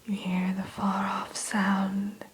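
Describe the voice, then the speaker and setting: A woman narrating a guided ASMR story in a soothing whisper, slowly, with deliberate pauses. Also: medium pitch, monotone, low-quality recording. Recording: faint background hiss.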